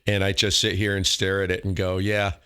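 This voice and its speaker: A man's voice: deep voice, monotone, a little fast, pushed and bright, smooth. A man chatting casually and folksily over amateur radio, rambling a little and poking fun at himself. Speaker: amateur radio operator and host recording his contacts. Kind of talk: casual ham radio chat.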